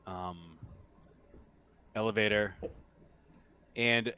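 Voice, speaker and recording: A man narrating a tabletop roleplaying game as game master in a halting, conversational way. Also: fairly low pitch, steady tone, bright, forceful tone, smooth.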